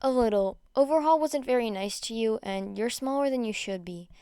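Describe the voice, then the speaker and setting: Woman acting as teenage boy, gentle, reassuring, kind, high smooth voice, audio story. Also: moderate pace, no echo, studio quality, natural voice. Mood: tender.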